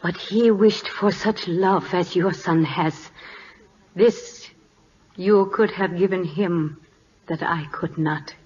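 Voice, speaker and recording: A solemn, dignified first wife of a Siamese king. She speaks very slowly in measured broken English. The 1940s radio recording is dull, with faint noise. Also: on the low side, a little mellow. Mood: reproachful.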